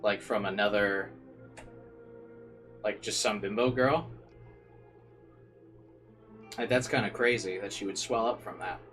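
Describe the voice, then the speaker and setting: A man's voice: on the low side, measured pace, pauses often, fairly bright tone, faint music behind. A man reading visual novel narration aloud as a streamer, dropping into informal side comments and occasionally stumbling over words.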